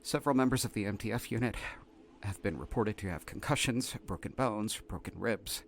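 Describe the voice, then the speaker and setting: A man playing a shaken, exasperated researcher, haltingly dictating an incident report in an audio drama. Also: on the low side, a little hiss or hum, close to an everyday voice. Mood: formal, uneasy. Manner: halting, flustered, repeating words. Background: faint music.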